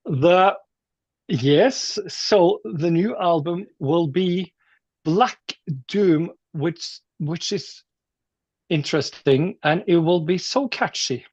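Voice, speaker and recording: A man with a Norwegian accent, a musician chatting casually and haltingly in an interview. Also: high-pitched, a little mellow, no room sound, lo-fi sound. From Norway. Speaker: metal musician being interviewed.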